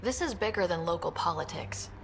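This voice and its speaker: An actress playing a confident, dry-witted young space explorer in a video game, direct and wry. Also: female voice, on the low side, expressive, fairly quick, in a large hall, a little hiss or hum. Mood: firm, wry. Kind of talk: video game character dialogue.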